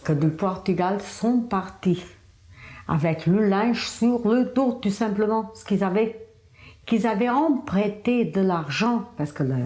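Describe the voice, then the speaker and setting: Older woman, slow, emphatic, warm low voice, echoey room. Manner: slow, emphatic, addresses listener with tu and hein. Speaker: documentary interviewee. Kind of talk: conversational testimony.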